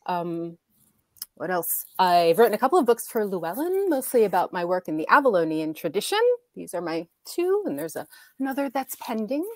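American woman, low voice, earnest and thoughtful, dry close sound, video call panelist. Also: sing-song, moderate pace, dry recording. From United States. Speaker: author, panelist. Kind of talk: casual panel talk. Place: home room with bookshelves.

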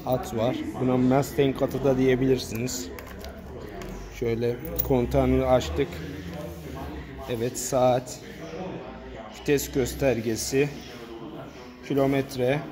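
A man's voice: medium pitch, level tone, takes it slowly, long pauses, crowd noise. A man filming a walkaround review in a showroom, pointing out parts in a plain, matter-of-fact way. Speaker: motorcycle reviewer. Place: indoor motorcycle showroom. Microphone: handheld phone camera.

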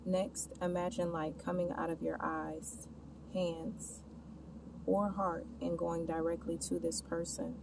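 A woman leading a scripted guided meditation, giving the listener soft step-by-step instructions and questions. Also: level tone, takes it slowly. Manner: instructional, addressing the listener with gentle imperatives and questions. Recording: distant mic, clear background noise, ambient rumble.

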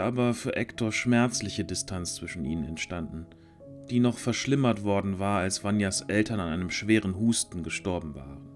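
A man narrating a scripted fantasy audio drama in a measured storytelling manner. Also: on the low side, darkish tone.

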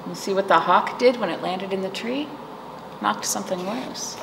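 A woman's voice: medium pitch, normal speed, gravelly. A middle-aged woman leading storytime for young children, explaining simply and checking in with questions. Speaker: children's librarian hosting virtual storytime. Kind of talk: storytime for young children, rhymes and read-aloud. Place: small indoor library room, seated. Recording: echoey room with background noise.

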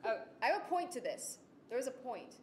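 Young female presenter, informal and animated, self-effacing; echoey room sound. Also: fairly quick. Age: young adult. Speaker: student presenter, audio drama writer. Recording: echoey room with clear rumbling noise.

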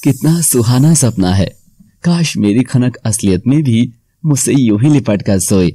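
A man's voice: mid-range voice, lively intonation, unhurried, dark tone, no echo, studio quality. A man acting young male characters of a cartoon serpent fairy tale. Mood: dreamy.

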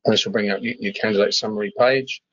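Man, husky, lowish pitch, informal demo presenter, hesitant repetitions, muffled dry sound. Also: steady tone, quick pace, a bit bright, no room sound. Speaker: webinar presenter. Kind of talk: informal software demo walkthrough. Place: screen-share webinar voice-over.

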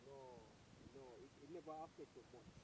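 A man, a farmer, answering questions outdoors in a hesitant, soft-spoken way with many fillers. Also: mid-range voice, normal speed, background noise. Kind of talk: casual interview answers. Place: outdoors on a farm.

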